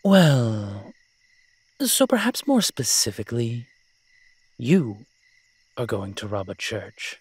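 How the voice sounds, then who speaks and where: A man's voice: mid-range voice, huge pitch swings, slow, long pauses, breathy edge, no room sound, exaggerated voice. A man playing a roguish incubus demon in a roleplay audio drama, talking in a sly, teasing, conversational way. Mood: reluctant, persuading. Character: smooth-talking roguish male incubus demon, playful and scheming.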